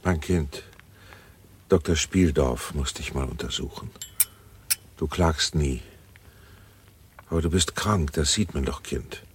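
An actor playing a worried, tender middle-aged gentleman and father in a radio drama, with a deep husky voice. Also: takes it slowly, pauses often, slight background noise. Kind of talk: German radio play acting.

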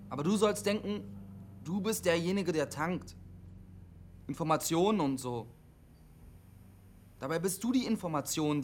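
Actor as a high-voiced, defensive young loner. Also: male voice, slight background noise, natural voice. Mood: guarded, earnest. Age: 20s. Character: defensive, awkward 25-year-old loner CB radio operator. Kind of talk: German radio drama acting.

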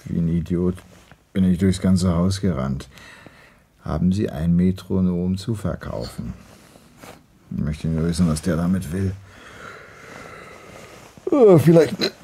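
An actor playing a brusque, sceptical young husband who talks colloquially and dismissively in a radio drama. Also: male voice, deep voice, big pitch swings, measured pace, pauses often, warm tone, slightly rough, exaggerated voice. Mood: grumpy. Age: young adult. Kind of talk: German radio drama acting.

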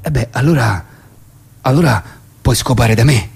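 A man's voice: medium pitch, animated, normal speed, gravelly, breathy edge, pitched below the performer's own voice, full character voice. A man playing an awkward, accommodating boyfriend in a comic phone dialogue, hesitant, laid-back and repeating himself. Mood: casual, sheepish.

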